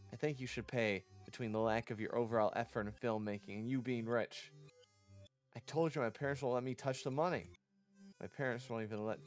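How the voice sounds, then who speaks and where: A man with a mid-pitched voice reads visual novel dialogue aloud over music. He streams the game and acts out each line, sometimes tripping over the wording. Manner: acts out lines, stumbles over words. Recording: music behind the voice.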